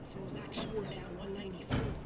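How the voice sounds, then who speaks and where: A man calling live bowling play-by-play as tournament director, calm and matter-of-fact while getting over a cold. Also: sits high, moderate pace. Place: bowling alley. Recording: distant mic with loud background noise.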